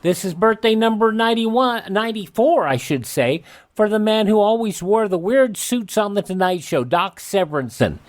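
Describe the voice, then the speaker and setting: A man's voice: high-pitched, animated, moderate pace. An American man hosting a radio program, reading scripted narration with brief off-the-cuff asides. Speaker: radio show host.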